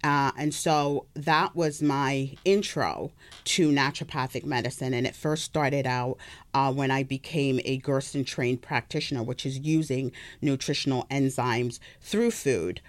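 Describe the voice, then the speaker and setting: A woman's voice: extremely low pitch, fairly even intonation, measured pace. Middle-aged woman, mixed British-American accent, careful explainer, interview guest, health practitioner. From Birmingham, England.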